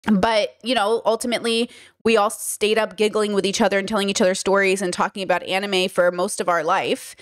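A woman's voice: mid-range voice, level tone, brisk, dry recording. A young woman from Southern California giving casual reaction commentary, thinking aloud at a studio microphone in a small bedroom. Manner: chatty, thinks aloud, gestures a lot. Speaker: commentary YouTuber. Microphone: studio mic on boom arm.

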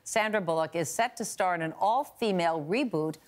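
A woman's voice: lowish voice, brisk, noisy background. A woman in her sixties hosting a daytime talk show panel in a TV studio, leading the chat and reading news items aloud. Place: TV studio with live audience. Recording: studio audience heard.

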